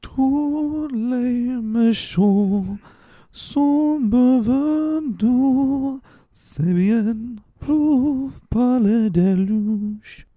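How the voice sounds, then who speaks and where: A man's voice: extremely high pitch, drawn-out words, dark tone, no room sound, pitched above the performer's own voice, exaggerated voice. A man voicing a tipsy, good-natured, boastful drunkard in a slurred, rambling drawl for a dramatic reading. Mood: drunken singing.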